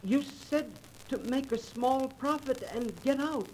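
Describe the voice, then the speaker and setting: A credulous businessman speaks slowly, with hesitant reverence. The style is earnest 1930s radio acting, with faint noise on the recording. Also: very high-pitched, darkish tone, poor audio. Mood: hesitant, reverent. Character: earnest, credulous businessman son addressing dead father.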